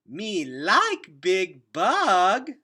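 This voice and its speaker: Storybook toddler girl, played by a man: high, bright, singsong baby talk, playful and affectionate. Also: wildly swooping pitch, extremely slow, husky, full character voice. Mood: happy, affectionate. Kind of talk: children's novel read-aloud.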